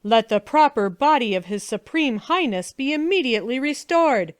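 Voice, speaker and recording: A woman's voice: sits high, expressive, moderate pace, clear, resonant tone, no room sound, clean studio sound. A woman voicing a wicked, scheming male prince who hisses and barks harsh commands.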